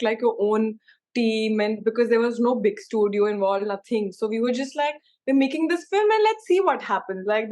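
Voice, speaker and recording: A woman's voice: fairly high pitch, a little mellow. A young woman with an Indian accent, an actress speaking casually and emphatically in an interview, often using "like" and "you know". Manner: informal, emphatic, peppered with 'like' and 'you know'.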